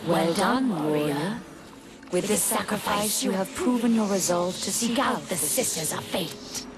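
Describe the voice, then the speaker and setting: A woman's voice: medium pitch, lively intonation, natural voice. Woman playing distant, imperious goddess of Fate. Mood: aloof, approving. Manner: formal, measured, superior. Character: imperious female Fate goddess, aloof and commanding. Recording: distant voice under loud music.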